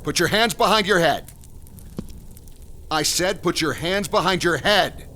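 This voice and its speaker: An actor in a radio drama playing a hard-driving, vengeful police detective with a terse, commanding delivery. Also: male voice, sits high, lively intonation, a little slow, sharp tone, a little hiss or hum, exaggerated voice. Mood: grim, forceful.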